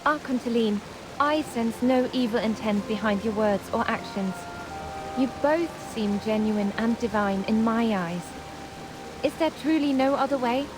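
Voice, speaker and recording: A woman's voice: fairly high pitch, unhurried, lightly acted, low-quality recording. A woman playing a reverent young guardian of a sacred place in a fantasy game, with an earnest, respectful, formal manner. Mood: concerned. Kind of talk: scripted fantasy game voice acting. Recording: music and rain behind the voice. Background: music, rain.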